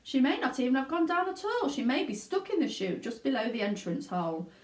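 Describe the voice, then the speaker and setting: A woman reading a children's storybook, voicing a calm, unbothered, eccentric male factory owner in a brisk, matter-of-fact manner. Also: sits high, pitched above the performer's own voice. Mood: breezy, calm. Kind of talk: children's storybook read aloud. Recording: echoey room.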